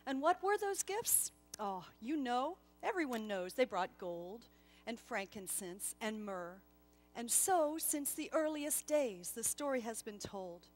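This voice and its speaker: A woman storyteller giving a dramatic reading, expressive and deliberate, speaking directly to her listeners. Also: fairly high pitch, faint music behind.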